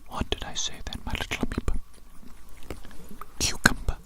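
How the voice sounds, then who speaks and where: An ASMR creator whispering through a roleplay hearing test, slow and repetitive, heaping praise on the listener. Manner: doting praise, calls the listener little amoeba. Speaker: ASMR creator playing an examiner.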